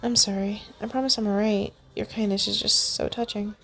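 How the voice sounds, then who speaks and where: A woman's voice: mid-range voice, little pitch movement, brisk, gravelly, fairly bright tone, soft background music. A woman voicing a shy, emotional young woman who speaks in a hesitant, shaky way, in a storybook reading. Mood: tearful, hesitant.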